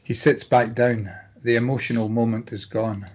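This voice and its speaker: A man's voice: medium pitch, little pitch movement, moderate pace, a little mellow. Man reading stage directions, noisy recording. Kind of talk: scripted narration at a table read.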